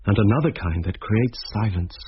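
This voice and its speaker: A literate schoolteacher in a radio play. His medium-pitched voice is measured but widely inflected. The recording sounds dull and muffled. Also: animated, slightly rough. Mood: reflective. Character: reflective male schoolteacher telling his story. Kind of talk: British radio drama narration and dialogue.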